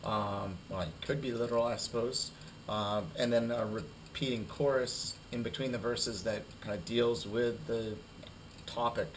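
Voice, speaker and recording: A young adult man with a cold giving a recorded class presentation, halting and full of fillers and repeated words. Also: medium pitch, steady tone, a little hiss or hum, rough recording. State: has a cold, losing his voice. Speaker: student presenter.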